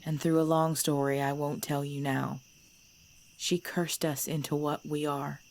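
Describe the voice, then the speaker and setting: A woman playing a centuries-old female vampire clan leader in an ASMR audio drama, composed and commanding, speaking in measured, formal phrases. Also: low voice, little pitch movement, a little mellow. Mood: grave. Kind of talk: ASMR audio roleplay acting. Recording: background noise with chirping crickets.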